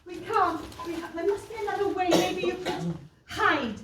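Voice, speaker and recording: A woman's voice: extremely high pitch, sing-song, moderate pace. A woman playing a flustered, melodramatic mother in a pantomime, exclaiming theatrically. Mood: fretful. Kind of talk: British pantomime stage acting. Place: live stage performance. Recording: distant echoey stage recording with noise.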